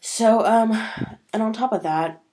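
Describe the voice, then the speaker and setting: A woman's voice: on the high side, a little slow, mellow. A woman talking casually to camera in a vlog, sniffly from a cold and rambling with many fillers. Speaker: vlogger.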